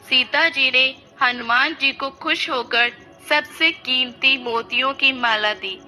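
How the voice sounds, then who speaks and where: A woman's voice: high voice, slow pace, bright, forceful tone, faint music behind, poor audio. A woman narrating a scripted story as a storyteller.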